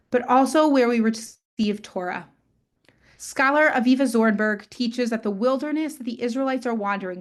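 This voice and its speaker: A woman hosting a webinar on a video call, reading a measured, prepared introduction. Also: fairly high pitch. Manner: measured, reads from prepared text. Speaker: webinar host.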